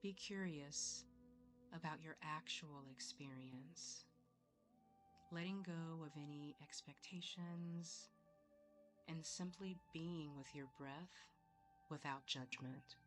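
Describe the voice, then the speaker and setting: A woman leading a guided meditation in a soothing, measured way, leaving long pauses between phrases. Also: low voice. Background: soft background music.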